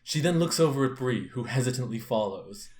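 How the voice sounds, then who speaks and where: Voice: mid-range voice, expressive, fairly quick, darkish tone, echoey room. A young adult narrator hosting a group dramatic reading and chatting casually about it.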